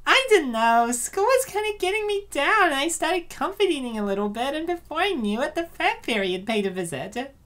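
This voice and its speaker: A high, animated young woman's voice acted by a woman for a comic dub. She is a defensive, easily hurt girlfriend who swings expressively through her lines. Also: fairly quick, few pauses. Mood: pleading, sheepish. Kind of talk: amateur comic dub acting.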